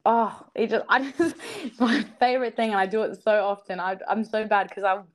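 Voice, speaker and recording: A young woman, speaking casually as a podcast guest. Her voice sits at an ordinary pitch for a woman, and she sounds earnest and upbeat, laughing often and leaning on "you know" and "like". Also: fairly even intonation, a little fast. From South Australia. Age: young adult.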